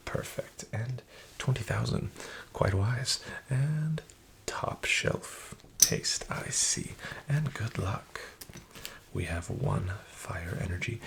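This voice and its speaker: A man playing a smooth-talking, falsely friendly casino dealer, soft-spoken and half-whispered. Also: on the low side, exaggerated voice. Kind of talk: soft-spoken ASMR roleplay. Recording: audible background noise with card shuffling.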